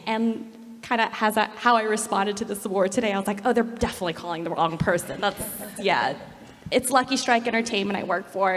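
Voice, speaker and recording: A woman speaking on a panel about her career, candid and self-deprecating. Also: medium pitch. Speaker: panelist, gaming company executive. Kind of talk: panel discussion. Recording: long hall reverb.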